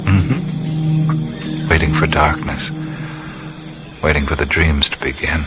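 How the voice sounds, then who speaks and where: A seasoned jungle guide in a radio play, with a very low voice that moves freely in pitch. He is laconic and dreamy, speaking over music and chirping insects. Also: animated, takes it slowly, exaggerated voice. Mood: dreamy, subdued. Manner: laconic, musing. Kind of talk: naturalistic audio drama acting. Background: music, insect and cricket sounds.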